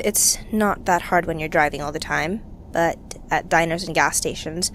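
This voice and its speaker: A woman playing a young fugitive rebel, bitter and haunted with grief, recording an intimate, halting confessional monologue for an audio drama. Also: lowish voice, a little hiss or hum. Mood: nostalgic, aching.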